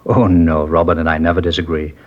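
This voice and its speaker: A soft-spoken, trusting older man from an old radio crime drama, hesitant and a little rambling, with a low voice. The sound is muffled and dated, like a vintage broadcast. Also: little pitch movement, fast. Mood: gentle. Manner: gentle, hesitant, rambling speech. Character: mild, trusting older male garment-factory owner. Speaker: witness in a police interview. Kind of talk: 1950s radio drama acting.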